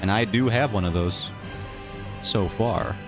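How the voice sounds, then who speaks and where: Guy streaming his art, low voice, rambling and joking about himself, faint music behind. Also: unhurried. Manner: rambling, self-mocking and jokey. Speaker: streamer and webcomic artist. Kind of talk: casual chat while drawing on stream. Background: faint background music.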